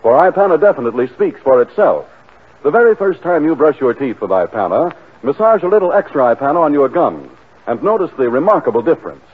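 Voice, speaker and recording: A man's voice: a little high, sing-song, fast talker, slightly rough, narrow, tinny audio. A man with an American accent announcing a 1940s radio commercial in an emphatic sales delivery. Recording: band-limited 1940 radio broadcast.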